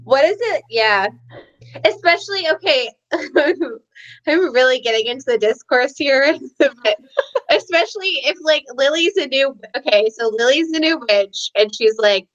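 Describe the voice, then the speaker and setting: High-pitched giggly woman, muffled call audio. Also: lively intonation, unhurried, fairly bright tone. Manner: giggly, informal with swearing. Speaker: book discussion panelist. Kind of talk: casual animated chat about a novel. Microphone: video call. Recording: dull lo-fi online call audio.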